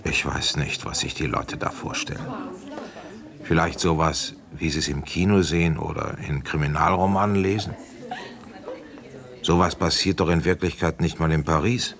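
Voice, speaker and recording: Deep-voiced, world-weary small-town police commissioner. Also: male voice, fairly even intonation, normal speed, a crowd in the background. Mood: weary, sardonic. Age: middle-aged. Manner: sardonic, resigned, gruff. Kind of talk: German radio play acting and first-person narration.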